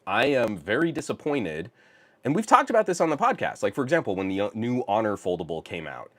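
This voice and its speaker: Man, low voice, very animated pitch, casual tech podcast host, rambling. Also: a little fast. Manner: animated, rambling, restarts, reads chat aloud. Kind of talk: casual livestream podcast chat.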